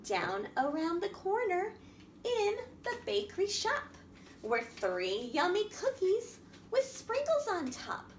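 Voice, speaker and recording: A middle-aged woman reading a picture book aloud as a children's storytime host, expressive and sing-song, talking directly to young children. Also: very high-pitched, takes it slowly. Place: indoor room at a wooden table by a window. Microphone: camera across the table. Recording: echoey room with background noise.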